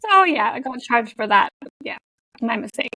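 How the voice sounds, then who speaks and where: A woman's voice: fairly high pitch, animated, normal speed, pushed and bright, a little hiss or hum, low-quality recording. A young Canadian woman speaking casually as an interview guest, laughing often and using many fillers. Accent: Canadian English with an international European tinge. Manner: chatty, laughs often, many likes and you knows. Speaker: guest, content creator.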